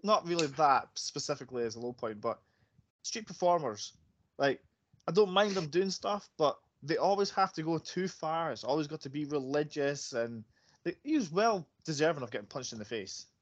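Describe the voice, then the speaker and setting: A man's voice: fairly high pitch, lively intonation, moderate pace, dull audio. A man chatting casually about a film as a podcast panelist.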